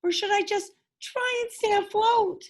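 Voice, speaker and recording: A tiny, anxious male mouse from a children's storybook, voiced by a woman reading aloud. The voice is squeaky and lifted well up high, small and full of worry. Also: measured pace. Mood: worried.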